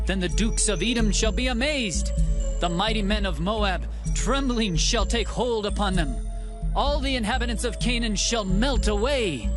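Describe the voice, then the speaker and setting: An actor playing Moses, declaiming a song of praise in a dramatized scripture reading with music behind. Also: male voice, sits high. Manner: solemn, declaiming. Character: Moses, man singing praise to God.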